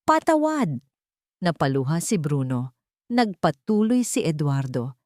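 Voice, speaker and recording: A woman storyteller with a slightly low voice narrates a scripted love story in a dramatic style. The recording is very dry, with no room sound. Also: expressive, moderate pace, a little mellow, dry recording.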